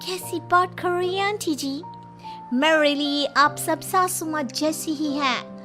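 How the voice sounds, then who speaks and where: A woman's voice: high voice, takes it slowly, bright, forceful tone, soft background music, full character voice. A woman voicing a sweet, childlike and easily upset young daughter-in-law in a Hindi cartoon story. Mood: sweet, earnest.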